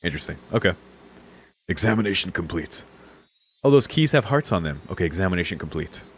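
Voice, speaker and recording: A man's voice: low voice, sing-song, a little fast, breathy edge. A man streaming a let's play, amused and slangy, commenting casually and reading lines aloud.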